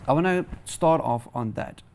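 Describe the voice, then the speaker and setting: A man's voice: medium pitch, expressive, normal speed, vocal fry, a little mellow, slight background noise. A man with a South African accent presenting a broadcast interview and putting questions in a formal style.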